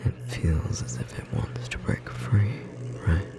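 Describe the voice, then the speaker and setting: Slow, whispering, tender magical bookstore clerk, acted. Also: sits low, over loud music. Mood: gentle, guiding. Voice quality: soft, slightly rough. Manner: breathy whispering, gentle and encouraging. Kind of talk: ASMR roleplay, intimate whispered second-person acting.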